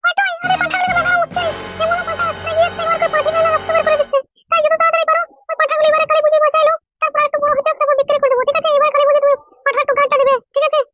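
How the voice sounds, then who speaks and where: Cartoon village mother-in-law: extremely high, squeaky, very fast, bossy and nagging. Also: flat delivery, over loud music. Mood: complaining, urging. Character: scolding village mother-in-law, goat owner, bossy and coaxing. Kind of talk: comedy cartoon dialogue.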